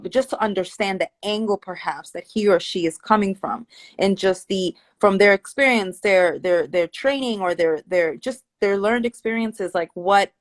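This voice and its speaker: A woman with a mid-pitched voice speaks as a therapist guest on a casual live stream. English is not her first language, and she thinks aloud in a reflective, searching way. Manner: reflective, thinking aloud with many "like" fillers and restarts.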